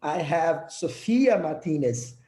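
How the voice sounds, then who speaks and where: Young Brazilian-accented man, earnest session presenter, mellow voice, slightly raised pitch. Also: sing-song, slow, echoey room. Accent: Brazilian Portuguese. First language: Portuguese. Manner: earnest, with small slips and restarts. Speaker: project leader presenting a session. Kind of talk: prepared presentation speech.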